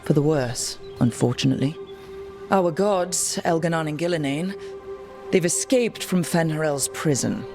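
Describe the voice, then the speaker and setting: An actress playing a dry, determined adventurer and leader, speaking directly in a fantasy video game. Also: female voice, low-pitched, lively intonation, moderate pace, over music. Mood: serious. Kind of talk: cinematic video game dialogue.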